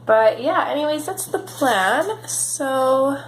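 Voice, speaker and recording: A woman's voice: high voice, takes it slowly, echoey room, a little hiss or hum, rough recording. A woman hosting a coloring video, talking casually with lots of ums while she works, a bit sniffly from a cold. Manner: relaxed rambling with frequent ums. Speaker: coloring channel host. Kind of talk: casual chatty coloring tutorial.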